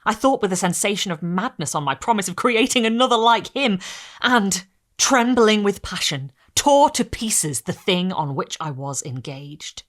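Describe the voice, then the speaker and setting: A woman hosting a candlelit reading series, reading a gothic novel aloud in a theatrical way and sometimes dropping to a hush. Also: mid-range voice, lively intonation, moderate pace. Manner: theatrical, hushed drops to a whisper. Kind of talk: dramatic reading of gothic fiction.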